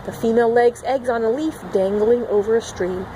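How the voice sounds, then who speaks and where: Female teacher for a children's read-along, higher-pitched and expressive, amateur recording with some background noise. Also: a little fast. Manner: expressive, acting out animal sound words. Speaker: children's teacher reading aloud. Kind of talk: picture-book read-along with asides to young children.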